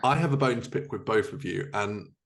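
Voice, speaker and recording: A man's voice: fairly low pitch, a little fast, gravelly. A man hosting a podcast, chatting casually as he interviews his guests. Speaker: podcast host.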